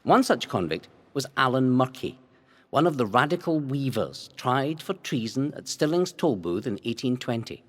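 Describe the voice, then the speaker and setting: A Scottish man reading a scripted history narration in a dramatic, deliberate storytelling way. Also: medium pitch, very animated. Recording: long hall-like reverb.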